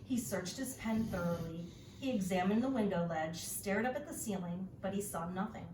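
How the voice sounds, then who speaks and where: A woman reads a children's story aloud in a deliberate, expressive teacher's style. Her voice sits at a middle pitch. It is recorded in an echoey room, a bit away from the mic, with faint noise. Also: recorded from a distance. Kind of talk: storybook narration.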